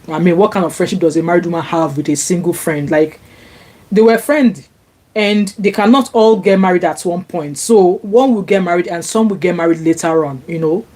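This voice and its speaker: A woman's voice: on the low side, fairly quick, darkish tone. A Nigerian woman whose first language is Yoruba, chatting casually on a live stream as she reads viewer comments, with many fillers and restarts. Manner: chatty, frequent fillers and restarts, rises when indignant. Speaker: vlogger reading viewer comments. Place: home, sunny Glasgow. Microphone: laptop.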